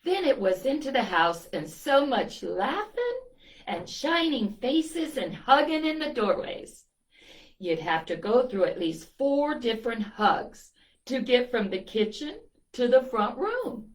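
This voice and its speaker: A woman's voice: a little high, very animated, takes it slowly, poor audio. A woman reading a picture book aloud to children, telling it expressively and adding little asides to her young listeners. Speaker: children's storytime reader.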